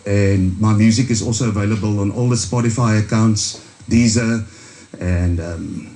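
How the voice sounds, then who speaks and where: Afrikaans-accented man, musician guest, low warm voice, halting and unpolished. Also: fairly even intonation. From South Africa. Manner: hesitant, many ums and restarts. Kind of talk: casual radio interview. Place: radio studio.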